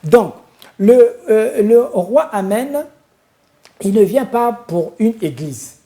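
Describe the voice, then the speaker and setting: Mellow-voiced man preaching solemnly. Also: high voice, lively intonation, slow. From Congo-Brazzaville. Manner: solemn and emphatic, with many hesitant fillers. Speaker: spiritual leader and TV guest. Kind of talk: preaching-style answers in a studio interview. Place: TV studio.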